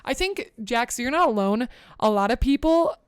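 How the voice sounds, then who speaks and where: Earnest woman with a smooth voice. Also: expressive, normal speed, no echo, clean studio sound. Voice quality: deep for a woman. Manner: earnest, encouraging, conversational with many fillers. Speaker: podcast host. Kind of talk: motivational podcast talk.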